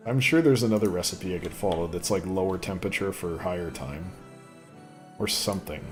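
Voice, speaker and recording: A man rambling casually while commentating on a gameplay video, with a voice he says sounds deeper since an illness. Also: brisk, faint music behind. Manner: rambling, chatty, thinks aloud. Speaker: gaming video host. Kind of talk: casual let's play commentary.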